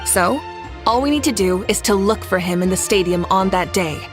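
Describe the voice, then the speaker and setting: A woman's voice: medium pitch, lively intonation, moderate pace, music in the background, lightly acted. A woman voicing a composed, confident young female ruler in a video game, assured and good-humored.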